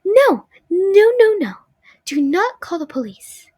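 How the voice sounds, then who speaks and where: Storybook animatronic puppet girl, timid and gentle, high and childlike, voiced by a young woman, dry close recording. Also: sing-song, moderate pace, dry recording. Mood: frightened. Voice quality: soft, childlike. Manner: timid, pleading when scared.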